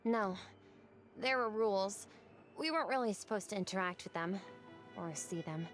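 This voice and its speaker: A woman's voice: mid-range voice, normal speed, soft background music, lightly acted. An actress playing a naive, bookish young woman in a 1930s-set game, earnest, precise and a little hesitant.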